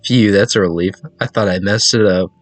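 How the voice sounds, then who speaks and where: A man's voice: low-pitched, fairly even intonation, moderate pace, dry recording. A man voicing an eager, nervous visual novel protagonist who is anxious to please. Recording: music behind a dry voice.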